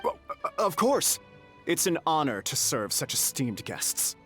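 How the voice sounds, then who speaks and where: A man's voice: fairly high pitch, very animated, a little slow, pushed and bright, heavily acted. An actor playing a nervous, deferential male food vendor in an anime-style game, stammering and eager to please. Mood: eager deference. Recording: faint background music.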